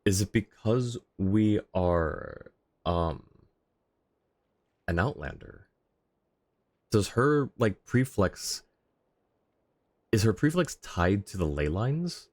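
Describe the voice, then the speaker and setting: Low-voiced man casually streaming a game. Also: big pitch swings, slow pace, long pauses, a little mellow, no echo. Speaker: game livestreamer. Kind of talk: casual live commentary, answering chat.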